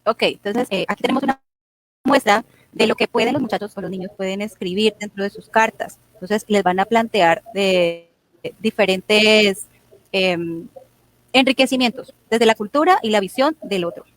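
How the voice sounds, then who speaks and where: A woman's voice: medium pitch, moderate pace, no room sound, low-quality recording. Woman forum presenter, dry sound, guiding the audience. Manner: guiding, with fillers "eh", "entonces".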